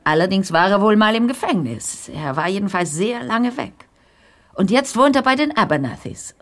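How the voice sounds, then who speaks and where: A woman's voice: medium pitch, expressive, moderate pace, fairly bright tone, lightly acted. An actress playing an older, gossipy small-town woman in an audio drama, talkative and emphatic. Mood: chatty, gossipy.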